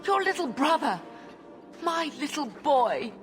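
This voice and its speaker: High-voiced, emotive woman. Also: sing-song, slow, faint music behind, exaggerated voice. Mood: grieving, angry. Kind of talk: dramatic fantasy video game acting.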